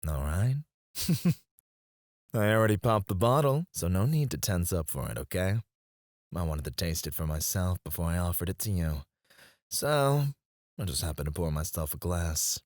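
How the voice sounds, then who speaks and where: A man playing a possessive, outwardly gracious CEO in an intimate scripted ASMR roleplay, speaking in a measured, suave manner. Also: low-pitched, expressive, no echo, clean studio sound. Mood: suave, coaxing. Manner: measured, suave, soft chuckles and sighs. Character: smooth, possessive male CEO, outwardly gracious, quietly controlling.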